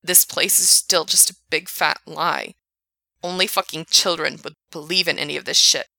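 Furious swearing young man, as a woman reads him, loud bright voice, close dry sound. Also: a little high, fairly even intonation, normal speed, vocal fry, no echo, exaggerated voice. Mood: bitter. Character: furious bitter young male park worker.

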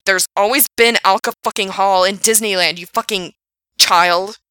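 A woman voicing an angry young male park worker who rants loudly and swears. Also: fairly high pitch, normal speed, vocal fry, dry recording, exaggerated voice. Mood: furious. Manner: loud, swearing, ranting.

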